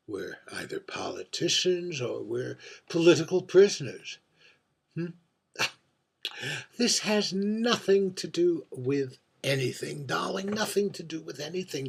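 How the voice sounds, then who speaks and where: Male actor as older drag queen, stage monologue: husky, unhurried, camp, wry. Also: medium pitch, full character voice. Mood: world-weary. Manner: camp, musing, tag questions and endearments. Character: aging world-weary drag queen, wry and theatrical, drinking at bar. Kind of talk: theatrical monologue.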